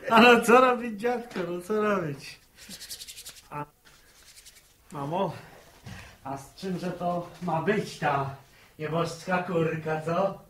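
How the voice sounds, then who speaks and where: High-voiced cheeky farm lad. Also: male voice, slow, pauses often, fairly bright tone. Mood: wheedling. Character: cheeky young farm lad, playful grandson. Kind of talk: Polish radio serial acting, 1960. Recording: echoey, faintly noisy vintage radio recording.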